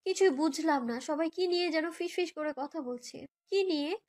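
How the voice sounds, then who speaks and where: A woman's voice: sits high, normal speed, a little mellow. A young woman narrates a romantic story in the first person, in an emotive, girlish way, as if living it. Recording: background music under voice.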